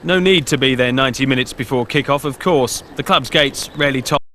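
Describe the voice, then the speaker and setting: Bright, forceful male narrator. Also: mid-range voice, brisk, slight background noise. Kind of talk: scripted narration.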